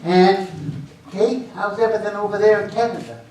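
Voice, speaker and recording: A man's voice: sits high, steady tone, normal speed, darkish tone, natural voice. A man playing a folksy, cranky, rambling small-town working man in an amateur stage comedy. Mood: teasing. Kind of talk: amateur readers theater comedy. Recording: echoey room, distant mic, faint noise.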